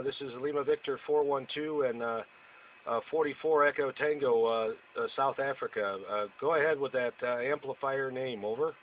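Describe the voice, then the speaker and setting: A man who operates amateur radio, chatting casually in radio procedure with a hesitant, rambling manner. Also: mid-range voice. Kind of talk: casual radio chat with call-and-reply procedure words. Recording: dull lo-fi audio with faint noise.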